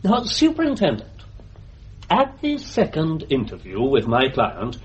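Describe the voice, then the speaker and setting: An actor playing a polite, persistent English defence barrister in a radio courtroom drama, formal and measured. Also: male voice, a little high, huge pitch swings. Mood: courteous. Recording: dull, noisy archive radio recording.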